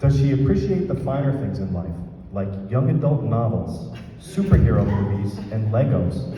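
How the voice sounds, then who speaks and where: A man's voice: on the low side, big pitch swings, normal speed, mellow. A man giving a humorous scripted wedding speech, read aloud like a storybook. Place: large reverberant event room at a wedding. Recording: very echoey, noisy hall from far off.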